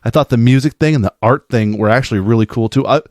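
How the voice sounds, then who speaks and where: An American man, a podcaster giving fan feedback in a casual recorded message, talking in an excitable, gushing way. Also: mid-range voice, quick pace, no room sound, clean studio sound. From Minnesota, USA.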